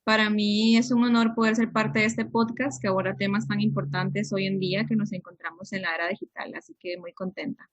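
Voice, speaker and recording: A young adult woman, a psychologist guest explaining things in a measured way in a podcast interview. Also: mid-range voice, steady tone, lo-fi sound. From Peru, raised in Costa Rica.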